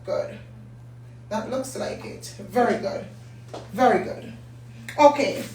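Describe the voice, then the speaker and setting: Middle-aged Jamaican female host, hoarse, unhurried, heard from a distance in a roomy space. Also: a little high. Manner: warm, chatty, greets viewers by name. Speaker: business talk show host. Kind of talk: casual live-stream talk. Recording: echoey room, distant mic, faint hum.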